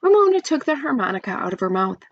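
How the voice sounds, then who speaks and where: A woman's voice: high voice, very animated, quick pace, dry recording, lo-fi sound. A woman reading a children's story aloud expressively, with chatty asides to young listeners.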